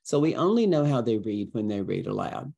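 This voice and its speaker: Male guest educator, lowish and warm-toned, conversational, emphatic storyteller delivery. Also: a little fast, creaky, lo-fi sound. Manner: expressive storyteller, emphatic, illustrative. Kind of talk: conversational interview answers with acted-out examples.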